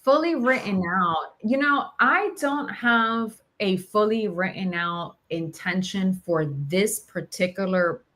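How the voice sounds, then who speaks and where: Slow, hesitant woman in reflective conversation. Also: mid-range voice, a bit bright. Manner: hesitant, searching for words. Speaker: podcast host.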